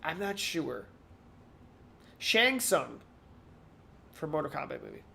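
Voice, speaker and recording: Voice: mid-range voice, very animated, slow pace, creaky, sharp tone. A podcast co-host chatting casually about pop culture, speaking in a hesitant, rambling way and often repeating words.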